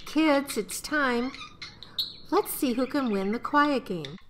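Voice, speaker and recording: A woman acts out a 1950s radio play mother with a fairly high voice. She speaks slowly and calmly, gently instructing with a wry edge. Background noise is clearly present. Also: exaggerated voice.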